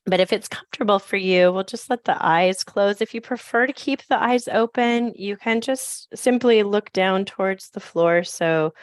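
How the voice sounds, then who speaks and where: A woman with a scratchy, raspy voice, softly leading a slow grounding meditation on a video call. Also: fairly low pitch, no room sound, studio quality. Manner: soft, slow, gently inviting. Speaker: program facilitator.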